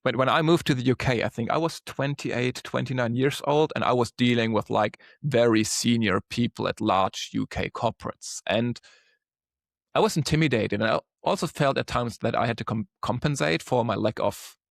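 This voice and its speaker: German-accented man, gravelly voice, dry close sound, frank, podcast guest. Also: mid-range voice, normal speed, no echo. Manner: direct, frank, repeats words when restarting. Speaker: guest, solution engineer. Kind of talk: casual podcast interview.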